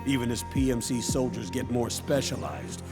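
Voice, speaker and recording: A man playing an earnest, brainy tech ally in a video game cutscene, explaining gravely in measured, expository sentences. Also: medium pitch, natural voice. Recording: music behind the voice. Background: music.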